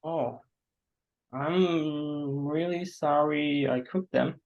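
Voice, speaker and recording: A man acting an awkward, apologetic host to a stranger in a staged play reading, halting and understated, on a dull recording. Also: fairly high pitch, a little mellow. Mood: shocked, apologetic.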